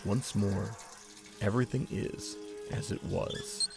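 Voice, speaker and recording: A man narrating a story in a measured, reflective way. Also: on the low side, fairly even intonation, vocal fry. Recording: loud music bed under voice.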